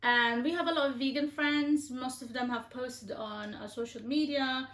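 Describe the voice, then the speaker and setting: A woman's voice: high-pitched, normal speed, a bit bright. A woman from Algeria chatting casually about her diet, hesitant, with many fillers and restarts. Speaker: co-host being interviewed. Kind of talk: casual conversational chat. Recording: echoey room.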